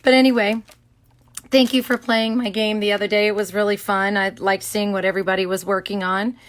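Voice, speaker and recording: A middle-aged woman with a hoarse, scratchy throat hosts a casual craft livestream from her home studio. She chats warmly with viewers by name and fills pauses with many ums. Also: mid-range voice, level tone. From Florida.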